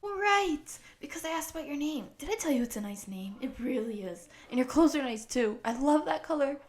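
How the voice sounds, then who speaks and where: A woman voicing a friendly, excitable eighteen-year-old wolf girl who rambles from one thing to the next in an audio roleplay for the listener. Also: sits high, brisk. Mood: excited, curious. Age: young adult.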